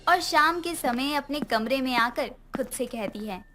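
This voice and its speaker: A woman's voice: sits high, expressive, measured pace, pushed and bright, soft background music. A woman narrator reading a scripted moral bedtime story.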